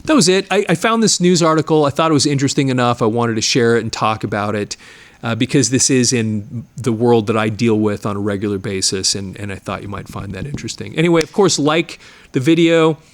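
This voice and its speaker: A middle-aged man talks casually to his viewers, with a voice that sits in an ordinary middle pitch. He rambles, restarting his sentences and repeating words as he explains. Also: fairly quick. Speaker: channel host, media digitizing studio owner. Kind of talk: casual explanatory talk to camera.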